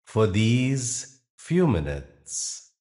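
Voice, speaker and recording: Male meditation guide: deep register, unhurried delivery, soothing and deliberate, with long pauses between phrases. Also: sing-song, dark tone.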